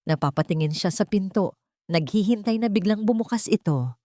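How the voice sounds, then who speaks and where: A woman's voice: medium pitch, expressive, normal speed, dark tone, no echo, clean studio sound. A woman narrating a scripted dramatic story as its storyteller.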